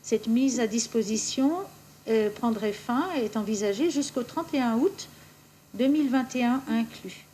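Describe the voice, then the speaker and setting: Woman, high-ish mellow voice, formal council report, background noise and room ambience. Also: normal speed. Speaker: municipal official presenting a council resolution. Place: municipal council meeting.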